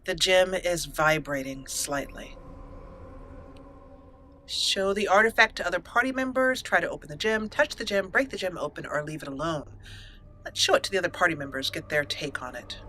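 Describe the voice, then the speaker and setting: A woman's voice: lowish voice, normal speed, pushed and bright, slight background noise. A woman hosting a let's play, switching between storytelling narration and commentary on her roleplay game.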